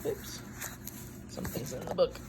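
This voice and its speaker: A woman reading a picture book aloud in an expressive, unhurried way, with wry, casual asides. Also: very noisy.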